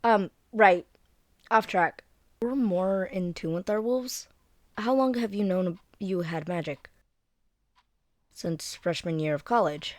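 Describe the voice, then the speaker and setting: A woman's voice: mid-range voice, takes it slowly, long pauses, a little mellow, dry recording, close to an everyday voice. A woman playing a friendly, flustered young werewolf in an audio roleplay, explaining things in a hesitant, informal, rambling way. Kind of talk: scripted one-sided roleplay audio drama, conversational.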